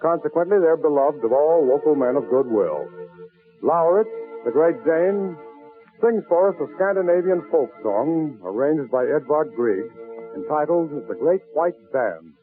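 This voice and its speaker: A man's voice: fairly high pitch, lively intonation, measured pace. A man acts as master of ceremonies, reading a scripted 1940s radio introduction in ornate, formal phrasing. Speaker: variety show master of ceremonies. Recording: tinny old radio recording with music.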